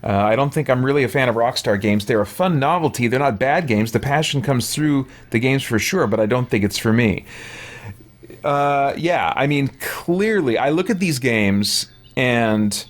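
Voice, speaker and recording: A man's voice: medium pitch, expressive, moderate pace. A man giving casual, rambling gameplay commentary as a streamer, thinking aloud and explaining himself to viewers. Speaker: video game streamer.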